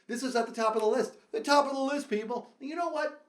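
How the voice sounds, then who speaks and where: High-voiced, theatrical man telling funny stories. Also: quick pace. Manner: theatrical, exaggerated, emphatic, repeats phrases. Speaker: storyteller, show host. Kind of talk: animated comedic personal storytelling to viewers. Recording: roomy echo.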